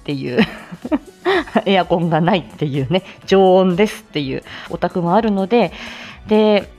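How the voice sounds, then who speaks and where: A woman's voice: fairly low pitch, moderate pace, warm tone, faint music behind. A woman hosting a casual live audio stream, chatting to listeners in a giggly, playful way. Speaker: speech-language therapist.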